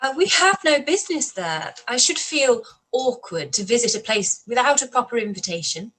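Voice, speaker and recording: A woman's voice: on the high side, expressive, normal speed, fairly bright tone, rough recording. An actress playing a spirited, inquisitive young woman in a radio play reading.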